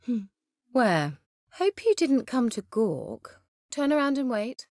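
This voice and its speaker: Snooty flirty sorceress from a fantasy game, sarcastic, super lively pitch, dry close sound. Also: female voice, takes it slowly, no echo, heavily acted. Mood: brisk, commanding. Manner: haughty, teasing, biting sarcasm. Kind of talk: video game character dialogue.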